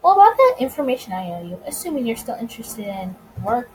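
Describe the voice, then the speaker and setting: A woman voicing a suave, playful male mobster in a visual novel, smooth, composed and teasing. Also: a little high, very animated, fast talker, slight background noise, poor audio. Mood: composed, businesslike. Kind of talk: visual novel character reading.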